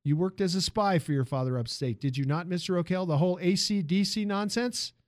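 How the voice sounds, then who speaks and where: A man's voice: high-pitched, a little fast, no room sound, studio quality, voice pushed up, lightly acted. A man voicing an imperious, demanding industrialist in a narrated story, with a commanding and emphatic manner. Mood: cold, haughty. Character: imperious male automobile tycoon, demanding and cold.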